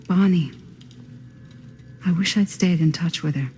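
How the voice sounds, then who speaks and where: An actress playing a composed, probing middle-aged woman in a video game, measured and dryly serious. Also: lowish voice, a little mellow. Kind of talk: naturalistic video game acting.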